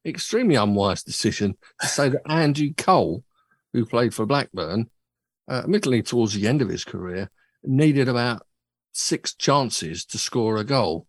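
A man hosting a relaxed chat show, his husky voice bouncing up and down in lively swings. He rambles and pokes fun at himself. The sound is close and dry. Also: mid-range voice, moderate pace, no echo. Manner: chatty, rambling, self-mocking. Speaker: podcast host. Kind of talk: casual chat. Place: home studio.

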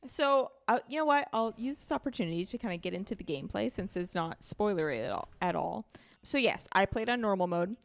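A woman's voice: medium pitch, animated, brisk, gravelly. A woman in a casual podcast discussion about video games, speaking candidly and emphatically in a conversational way. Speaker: podcast guest co-host.

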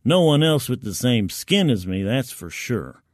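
A male narrator performs a first-person military science fiction story. His voice is medium in pitch, with a colloquial, wry and gritty soldier's delivery. The recording is very dry, with no room sound. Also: big pitch swings, unhurried, a little mellow, no room sound.

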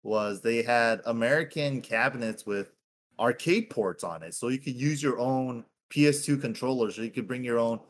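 A relaxed man in his thirties, from Hawaii, talking as a guest on a podcast. His talk is loose and friendly, with "dude" and "man" all through it. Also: mid-range voice, moderate pace, a little hiss or hum. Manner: laid-back, keeps calling people "dude". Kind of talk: casual chat.

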